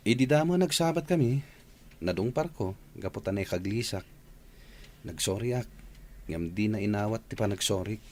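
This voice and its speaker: A man's voice: fairly low pitch, lively intonation, normal speed, pauses often, darkish tone. A man playing a grumbling young son in radio drama, with an Ilocano accent. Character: young man grumbling to his mother about a snobby woman.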